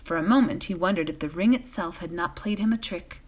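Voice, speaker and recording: A woman reading a bedtime fantasy novel aloud as a volunteer, in an expressive storytelling style. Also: lowish voice, brisk, husky.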